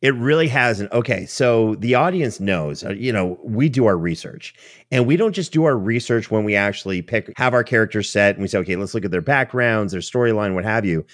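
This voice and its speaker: A man's voice: on the low side, lively intonation, a little fast, no room sound, studio quality. A man hosting a show in a chatty, teasing, conversational way. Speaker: podcast host.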